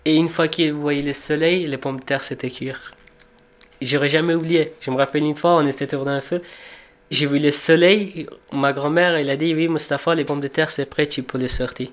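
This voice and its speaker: A man in his twenties from Afghanistan, a cook, telling his life story in a reflective, unhurried way, pausing and restarting as he searches for words. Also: on the high side, fairly even intonation. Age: 20s. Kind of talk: personal storytelling for a documentary portrait.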